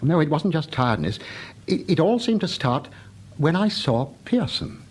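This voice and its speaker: A man's voice: a little high, very animated, normal speed, husky. A British man acting as a troubled, earnest doctor in a radio thriller, hesitant and overwrought. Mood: uneasy, confiding. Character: troubled, haunted English male doctor, earnest and insistent.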